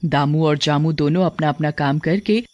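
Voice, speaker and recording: A woman's voice: deep voice, normal speed, darkish tone, highs cut off, a little hiss or hum. A woman giving scripted narration for a cartoon story as the storyteller.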